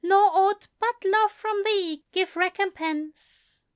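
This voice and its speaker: A woman voicing a soft-spoken, adoring male angel in a read-aloud story, his voice high, smooth and warm. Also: takes it slowly. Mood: dreamy.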